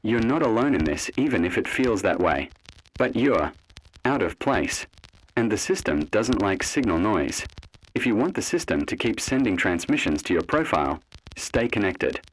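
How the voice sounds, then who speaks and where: A man reading a scripted analog horror narration in a measured, cryptic way, with short phrases and deliberate pauses. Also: fairly low pitch, big pitch swings, rough recording. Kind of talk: scripted horror narration, ominous second-person address.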